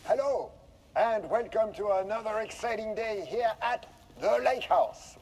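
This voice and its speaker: An actor playing a falsely cheery, smug research director presenting a stilted corporate welcome video in a game. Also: male voice, sits high, animated, unhurried, poor audio. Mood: forced cheer. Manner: chirpy, stilted presenter delivery.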